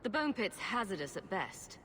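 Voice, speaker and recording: An actress playing a dry-witted, sarcastic adventurer heroine. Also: female voice, medium pitch, big pitch swings, moderate pace, fairly bright tone, a little hiss or hum.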